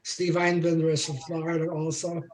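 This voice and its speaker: A man hosting an online song circle, chatting casually and haltingly as he organizes people over a computer mic. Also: fairly high pitch, monotone, a little mellow. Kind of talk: casual chat on a group video call. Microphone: computer mic on a video call.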